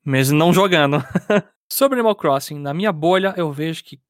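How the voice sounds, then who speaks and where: A man's voice: a little high, moderate pace, dry recording, studio quality. A man, co-hosting a casual game podcast and reading listener comments, laid-back and laughing often.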